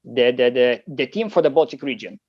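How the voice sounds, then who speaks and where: Lithuanian-accented man, halting, muffled audio. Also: medium pitch, lively intonation, dry recording. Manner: halting, many fillers and restarts. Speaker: competitive shooter guest. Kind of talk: podcast interview answers.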